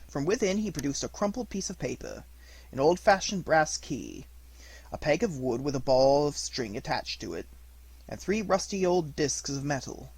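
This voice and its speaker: Guy reading an old detective story out loud, kinda raspy, voice goes up and down a lot. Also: on the high side, lively intonation, moderate pace, dry recording, low-quality recording. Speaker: amateur audiobook reader. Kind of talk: scripted narration of a Victorian detective story.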